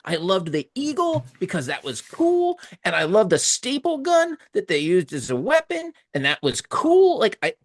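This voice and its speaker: A man's voice: sits high, huge pitch swings, moderate pace, few pauses, no echo, clean studio sound. A middle-aged man co-hosting a podcast, chatting informally and emphatically as a fan. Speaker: podcast co-host. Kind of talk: casual fan chat.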